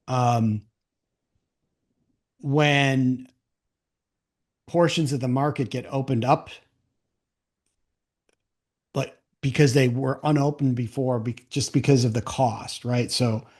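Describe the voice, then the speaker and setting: A man's voice: mid-range voice, fairly even intonation, slow, long pauses, darkish tone. A man hosting a conversational interview, talking in a plain-spoken, explanatory way. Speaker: podcast host.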